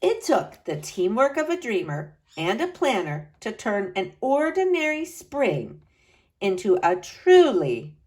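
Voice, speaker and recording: A woman reads a children's picture book aloud with a fairly high voice. Her pitch rises and falls widely, and she stretches key phrases out for drama. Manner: expressive, slow dramatic emphasis with wide pitch swings. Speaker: storyteller reading to children.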